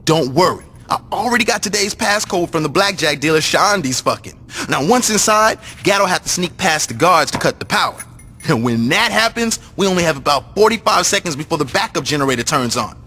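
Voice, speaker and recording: A brash male gang lieutenant for a crime game, scheming and foul-mouthed. His voice is fairly high and very animated, with a bright, forceful edge. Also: fairly quick, husky, soft background music, exaggerated voice. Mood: confident, scheming. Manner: profane, explanatory.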